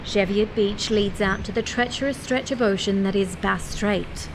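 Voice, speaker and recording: A woman's voice: mid-range voice, normal speed, noisy background, close to an everyday voice. A woman playing an earnest 1960s news reporter giving an on-the-scene report in a radio play.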